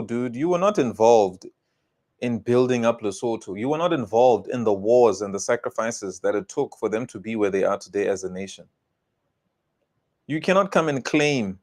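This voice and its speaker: A South African man rants through heated commentary, emphatic and scolding. His voice sits at an everyday middle pitch. He hammers each warning home and repeats it.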